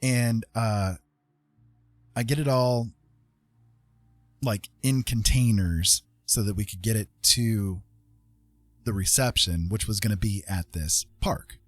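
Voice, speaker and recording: Low, husky man telling a rambling story. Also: takes it slowly, long pauses, no room sound. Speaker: livestream host.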